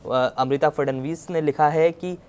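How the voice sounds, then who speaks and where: A man's voice: fairly high pitch, steady tone, measured pace, darkish tone, smooth. A man presenting a news report, explaining as he goes and often restating his points.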